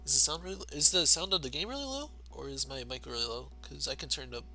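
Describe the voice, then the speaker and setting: A young man with a soft, quiet low voice, casually commentating a live game tournament from a home streaming setup, chuckling between remarks. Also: fast. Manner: laid-back, chuckles often between remarks. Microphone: desk mic turned to max. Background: game music playing.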